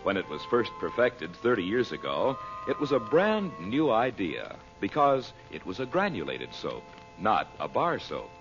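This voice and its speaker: A man's voice: fairly low pitch, huge pitch swings, measured pace. An American man announcing in a formal, emphatic, scripted old-time radio style with dramatic delivery. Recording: muffled old radio broadcast recording. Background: music.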